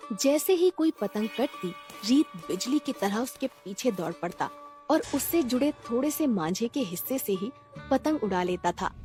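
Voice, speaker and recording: A woman's voice: medium pitch, a little mellow. A woman narrating a scripted cartoon story for children.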